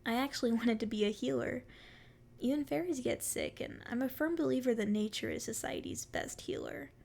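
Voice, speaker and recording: Casting: female performer as a sweet, playful forest fairy for ASMR roleplay, very high voice with a creaky edge, teasing and curious. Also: brisk, a little mellow. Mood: chatty, friendly. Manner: sweet, flirty, teasing endearments. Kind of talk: ASMR roleplay, addressing the listener.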